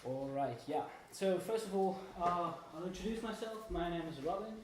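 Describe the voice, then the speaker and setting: A man's voice: sits high, animated, moderate pace. Hesitant young man in a very echoey room. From Germany and Italy. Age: 20s. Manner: hesitant, rambling, self-deprecating. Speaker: student presenter giving a talk. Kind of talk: informal live presentation. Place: indoor room at a small meetup. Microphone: distant room microphone. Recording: echoey room, distant mic, background noise.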